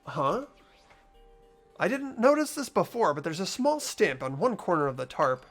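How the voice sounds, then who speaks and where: Game lead: hesitant, earnest teen boy sleuth read by an amateur player, middling pitch, expressive swings, dialogue and musing. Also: moderate pace, faint music behind. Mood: curious, thinking aloud. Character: mild-mannered, hesitant teenage boy detective in a game. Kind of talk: amateur voice acting and inner monologue of a game script.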